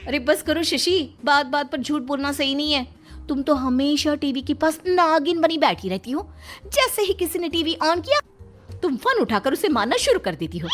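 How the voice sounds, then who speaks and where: Woman acting a young daughter-in-law, very high pitch, animated, whiny, bickering, cartoon family story. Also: moderate pace, faint music behind. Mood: indignant. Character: squabbling young daughters-in-law, indignant, pleading, TV-obsessed women.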